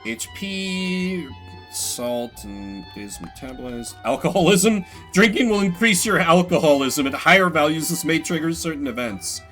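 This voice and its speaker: A man's voice: a little high, expressive, unhurried, a bit bright, soft background music. A man giving casual, wry, joking commentary on a game he plays, reading its text aloud as he goes. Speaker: video game streamer.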